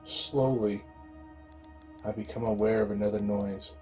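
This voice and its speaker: A man playing a wounded, exhausted survivor of a zombie horde, narrating a first-person horror audio drama in a dramatic, halting way. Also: lowish voice, fairly even intonation, mellow, faint music behind, lightly acted. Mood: fading, weary. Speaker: amateur voice actor.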